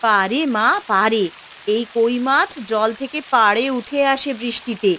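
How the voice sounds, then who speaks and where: A woman voicing a poor village wife and mother in a cartoon, fretful but tender, with an expressive, homely delivery. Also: a little high, a little slow, a little hiss or hum. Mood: pleased surprise. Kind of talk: cartoon voice acting.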